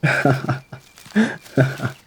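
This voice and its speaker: A man voicing a suave, sinister gentleman lurking in the woods, in a theatrical, genteel ASMR roleplay with a husky voice. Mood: darkly amused. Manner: theatrical, genteel, drawn-out phrasing with endearments. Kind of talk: ASMR audio roleplay monologue.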